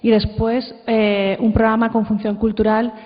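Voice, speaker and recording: A woman's voice: on the high side, flat delivery, unhurried. A woman giving an informal conference presentation, introducing and commenting on audio clips. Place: conference hall. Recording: echoey hall, muffled sound.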